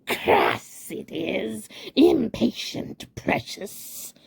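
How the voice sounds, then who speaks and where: Woman voicing wretched male cave creature, storybook, raspy whisper, croaking fry. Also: big pitch swings, drawn-out words, full character voice. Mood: wheedling. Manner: wheedling, lurching between squeaks and growls.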